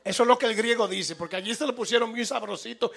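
High, gravelly voice of a fervent preacher. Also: male voice, fast talker, fairly bright tone. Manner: fervent and emphatic, with repetition and rising exhortation. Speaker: radio preacher. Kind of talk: evangelical sermon.

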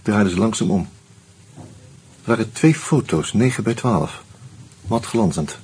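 A musing wry man in a radio play, really raspy low voice, tells it haltingly. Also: animated, darkish tone, full character voice. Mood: musing, reflective. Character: reflective male friend telling his story, wry, hesitant. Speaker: actor narrating and playing the lead in a radio play. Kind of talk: intimate first-person radio drama narration and dialogue.

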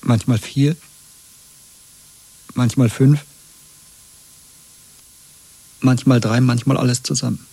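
An actor playing an exhausted, broken prisoner under torture in a radio play, halting and submissive, with a breathy voice. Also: male voice, medium pitch, fairly even intonation, warm tone, dry recording, poor audio. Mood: dazed submission. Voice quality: husky, breathy. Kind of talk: 1970s German radio play acting.

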